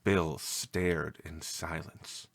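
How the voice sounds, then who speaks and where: A man reading a scripted story as narrator, with an expressive, measured and dramatic delivery. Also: extremely low pitch, gravelly, dry recording.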